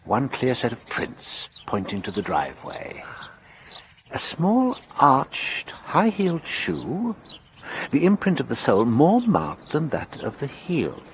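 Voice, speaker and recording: Detective, middle-aged, shrewd, dry and ironic, animated pitch, faint hiss. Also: male voice, slow pace, full character voice. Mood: deductive. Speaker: inspector. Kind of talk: radio drama acting.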